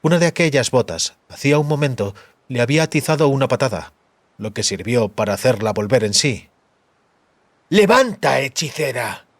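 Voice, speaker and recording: A man narrating fiction as a dramatized audiobook, with deliberate, dramatic phrasing. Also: medium pitch, dry recording.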